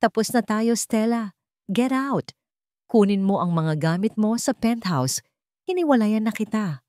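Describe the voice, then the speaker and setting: A woman's voice: mid-range voice, animated, measured pace, warm tone, no room sound, studio quality, pitched above the performer's own voice, lightly acted. A woman voicing an angry wealthy businessman and protective father, accusing and commanding, in an audio romance drama. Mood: cold, commanding.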